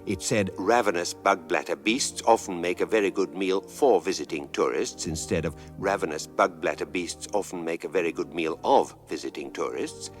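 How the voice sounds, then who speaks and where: Brief: drily authoritative British guidebook voice, low-pitched yet lively intonation, comic narration. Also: male voice. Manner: deadpan, erudite, measured.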